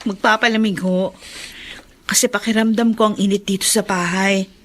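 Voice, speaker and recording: A woman's voice: mid-range voice, steady tone, moderate pace, a bit bright, lightly acted. A woman playing a hurt, defiant young wife, emotional and indignant, in a radio drama. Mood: resentful. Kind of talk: Tagalog radio drama acting.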